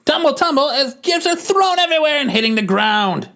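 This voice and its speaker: A woman's voice: high-pitched, lively intonation, fairly quick, a bit bright. A woman narrating scene directions as part of a dramatic comic-book reading on a podcast.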